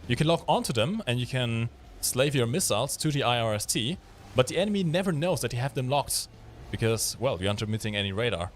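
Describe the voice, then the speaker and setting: A man with a German accent hosts a game stream in a lively, widely swinging voice. He explains things casually and jokes along as he plays. Some noise sits behind his voice. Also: mid-range voice, a little fast. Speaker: game livestream host. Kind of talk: casual live gaming commentary and chat.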